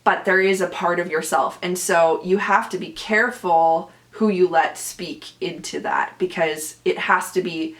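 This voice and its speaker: Young woman host, boomy loud voice, lowish pitch, animated casual chat. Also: unhurried, hardly any pauses. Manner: chatty, animated, laughs often. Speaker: podcast host; actor. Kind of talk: casual solo podcast chat.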